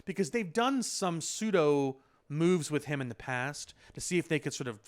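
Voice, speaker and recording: A man's voice: a little high, expressive, moderate pace. A man co-hosting a podcast in casual discussion, explaining things in a loose, circling way full of filler words. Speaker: podcast co-host. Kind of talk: casual pop culture discussion.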